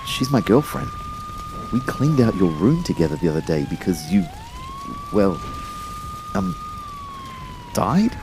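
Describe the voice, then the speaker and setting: A man's voice: mid-range voice, huge pitch swings, noisy background. A man acting a bewildered, stammering young man caught in a disaster in an audio drama. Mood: alarmed, disbelieving. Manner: halting, stammering.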